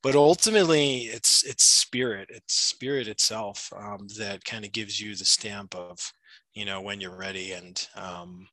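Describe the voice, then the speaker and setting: Middle-aged American man, bright and low-pitched, answers thoughtfully with lots of ums; dry sound. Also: unhurried, no room sound, low-quality recording. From United States. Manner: thoughtful, many fillers and restarts. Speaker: shamanic practitioner and retreat facilitator. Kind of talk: interview answers, explanatory. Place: Peru.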